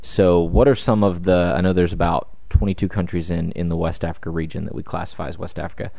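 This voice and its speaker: Very deep, flat, dry-sounding interviewer. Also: male voice, quick pace, vocal fry, dry recording. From Ivory Coast. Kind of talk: interview questions.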